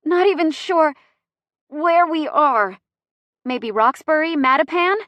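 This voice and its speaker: A bossy, sharp-tongued woman in painful labor, as a female narrator puts her on for an audiobook. Her voice jumps high and strained, in clipped, indignant bursts. The sound is dry. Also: animated, takes it slowly, no room sound, exaggerated voice. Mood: pained, sarcastic. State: panting through pain.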